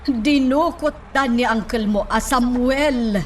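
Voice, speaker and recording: Slow, pleading Filipina mother. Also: a little high. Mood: grave. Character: anxious, pleading middle-aged mother, protesting innocence. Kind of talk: Filipino radio drama acting. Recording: distant mic with clear background noise.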